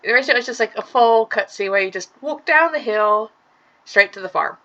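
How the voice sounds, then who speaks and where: A woman with a hoarse voice from a sore throat, casually commenting on a game she is playing and explaining its details step by step. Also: fairly high pitch, expressive, fairly quick, bright, forceful tone, highs cut off. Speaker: let's play gamer. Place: room at home.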